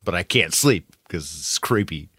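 A man's voice: low voice, expressive, moderate pace, pushed and bright, no room sound. A man co-hosting a podcast in casual, conversational chat about television. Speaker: podcast co-host.

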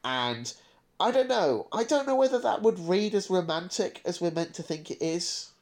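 A man's voice: sits high, lively intonation, normal speed. A man co-hosting a casual podcast discussion, giving opinions with frequent stammering restarts. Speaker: podcast co-host.

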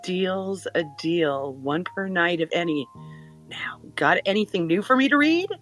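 A woman playing a flustered, apologetic but affectionate guardian of a teenager in an audio drama, casual and halting. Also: fairly low pitch, animated, a bit bright, faint music behind. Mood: pleading, affectionate.

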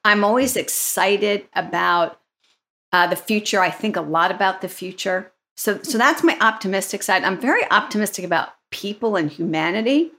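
A woman's voice: medium pitch, lively intonation, moderate pace, sharp tone. A woman co-hosting a leadership podcast in an upbeat, conversational way, often checking in with "right?". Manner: upbeat, asks questions, frequent "right?" tags. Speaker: podcast co-host.

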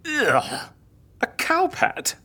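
A woman's voice: sits high, huge pitch swings, extremely slow, bright, forceful tone, full character voice. A woman playing a flustered, curious agency interviewer in an audio drama comedy, questioning fussily and with disbelief. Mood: incredulous. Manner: incredulous, fussy questioning.

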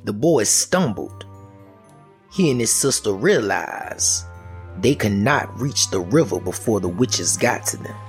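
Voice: mid-range voice, expressive, a little slow. A storyteller retelling a folk tale in a folksy, dramatic way, with music behind. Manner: folksy, dramatic, repetitive chanting delivery.

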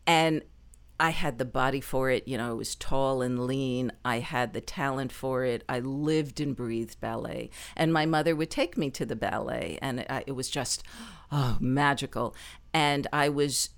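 A middle-aged woman with a low speaking voice, a performer telling stories from her life in an interview, lively and quick to laugh. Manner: lively, laughs often, animated asides. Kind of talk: conversational storytelling interview.

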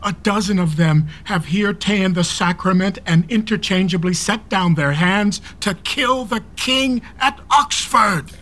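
Slow, high-voiced stern old English duke. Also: male voice, a bit bright. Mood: furious, outraged. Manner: declamatory, measured verse delivery. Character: stern elderly English duke, proud, loyal, quick to fury. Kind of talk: Shakespeare radio play acting, archaic verse.

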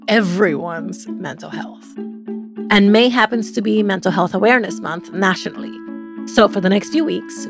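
A woman hosting a podcast, reading a scripted introduction in a measured way. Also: mid-range voice, creaky. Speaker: podcast host. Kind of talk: scripted narration. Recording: guitar music underneath.

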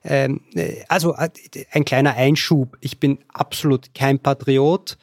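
An Austrian man with a mid-pitched voice talks as a podcast guest. He explains things casually, in careful, deliberate High German that keeps his accent. The sound is very dry. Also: steady tone, dry recording.

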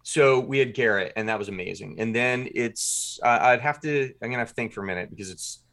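A man's voice: mid-range voice, fairly quick, a bit bright. A man being interviewed as a podcast writer and producer, talking casually and colloquially in short bursts.

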